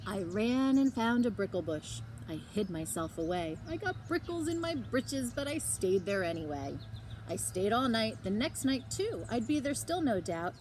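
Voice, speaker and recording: A woman reading a children's story aloud expressively, with dramatic emphasis and drawn-out words, like a librarian at story time. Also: mid-range voice, a little hiss or hum, poor audio.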